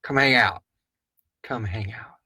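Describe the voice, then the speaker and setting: A man's voice: fairly low pitch, slow pace, sharp tone, slightly rough, no echo, clean studio sound. Casual male live-stream host, closely recorded. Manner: informal, rambling, chummy with viewers. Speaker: podcast host answering listener questions.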